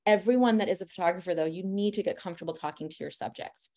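A woman in her thirties, a family photographer, giving a lecture on posing in a lively, direct and encouraging way. She talks a lot and has a loud voice. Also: on the low side, fast. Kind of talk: presentation lecture.

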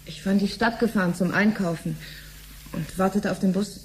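An actress playing an unassuming, conciliatory middle-aged wife in a radio drama. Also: female voice, medium pitch, fairly even intonation, brisk, natural voice. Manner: apologetic, unassuming, casual. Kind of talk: 1970s German radio drama acting. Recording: slightly noisy old radio drama recording.

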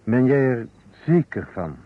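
An actor in an old Dutch radio play playing a weary surgeon, speaking in short, deliberate phrases. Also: male voice, medium pitch, sing-song, husky. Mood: grave, confessing. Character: weary male surgeon coolly confessing a false alibi. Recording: dull, noisy old radio recording.

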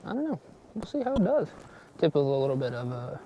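A man's voice: fairly high pitch, big pitch swings, fast talker, warm tone, low-quality recording. A young man vlogging casually outdoors on a frozen lake, chatting upbeat to viewers about his fishing gear, recorded on an action camera. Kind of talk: casual outdoor vlog chat.

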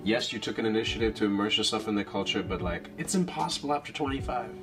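A man's voice: on the low side, fast talker, over music. A man, perhaps in his thirties, hosting a casual conversational interview. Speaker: interviewer, host. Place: small bright living-room style set, indoors.

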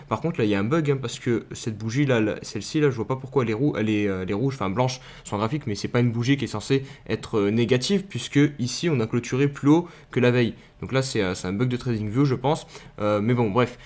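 A man's voice: mid-range voice, a little mellow. Young male crypto YouTuber, casual screen-share commentary, filler-heavy. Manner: loose and chatty, many fillers and restarts.

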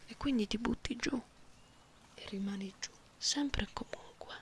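A woman playing a gentle, playful cat-girl in an ASMR roleplay, speaking softly and intimately, often slipping into whispers. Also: fairly high pitch, natural voice. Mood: soft, concerned. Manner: slow, soothing, intimate, teasing, with meows and purry hums. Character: gentle, playful genetically modified cat-girl comforting someone lonely. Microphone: close binaural microphone. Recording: close binaural recording with faint background noise.

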